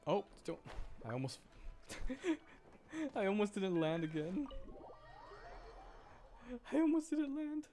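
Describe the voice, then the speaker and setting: A chatty man livestreams a video game in a casual, giggly way. His pitch swings widely as he talks. Game music plays behind his voice. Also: sing-song, takes it slowly, pauses often, dark tone. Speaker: VTuber game streamer.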